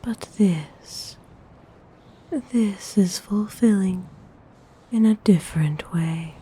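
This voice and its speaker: A woman playing a gentle, seductive vampire noble in a whispered ASMR roleplay, breathy, soothing and intimate. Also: mid-range voice, full character voice. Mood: tender, intimate. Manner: slow, soothing, intimate, unhurried.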